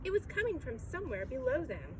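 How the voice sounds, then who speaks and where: High-voiced, animated woman reading a storybook. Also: fairly quick. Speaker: reader reading a children's book aloud. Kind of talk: storybook read-aloud narration. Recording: clearly noisy background.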